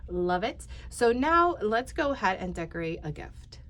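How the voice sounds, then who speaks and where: A woman's voice: mid-range voice, very animated, normal speed, fairly bright tone. A woman hosting a casual paper craft tutorial, a bit congested, chatty and rambling, often dropping to a near-whisper while she works. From Texas. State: congested, stuffy-sounding.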